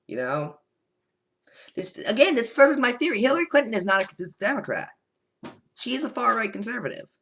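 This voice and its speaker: A man giving sarcastic, rambling reaction commentary from his notes. His pitch swings up and down constantly, with lots of fillers and asides. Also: very animated, fairly quick, vocal fry, long pauses, fairly bright tone. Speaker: political commentator vlogger.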